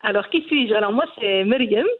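A woman's voice: mid-range voice, lively intonation, normal speed, breathy edge, phone-quality sound. A woman in her forties from Belgium, a cooking YouTuber answering interview questions earnestly, with many hesitations. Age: 40s.

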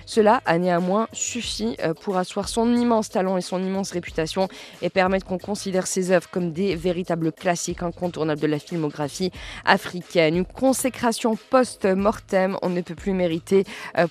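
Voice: mid-range voice, moderate pace, faint music behind. A radio culture show host presenting a film review and introducing a guest from a prepared text, with some fillers.

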